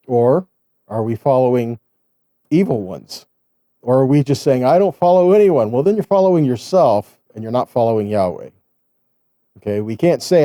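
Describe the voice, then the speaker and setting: A man's voice: fairly high pitch, dark tone, no room sound, studio quality. A man giving a conversational sermon as a Bible teacher, reading scripture and explaining it with rhetorical questions and frequent check-in tags.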